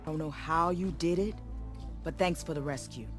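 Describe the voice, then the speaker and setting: A man's voice: a little high, normal speed, loud music behind the voice, natural voice. An actor playing a stranded male villager, earnest and relieved after a rescue.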